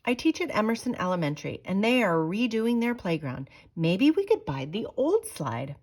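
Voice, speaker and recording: A woman's voice: medium pitch, very animated, normal speed, a little mellow. A woman reading a storybook as a helpful grown-up neighbor, friendly and upbeat. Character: helpful adult neighbors offering what they can.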